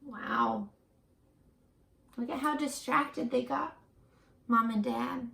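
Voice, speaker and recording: A woman teacher reading a picture book aloud to young children, expressive and encouraging, pausing for chatty asides and questions. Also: a little high, creaky. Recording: roomy echo.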